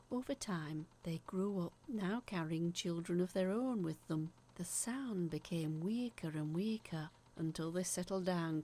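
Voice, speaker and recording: A woman's voice: on the low side, a little mellow. A woman playing an earnest, haunted letter writer, confiding eerie childhood tales in a dramatic scripted narration.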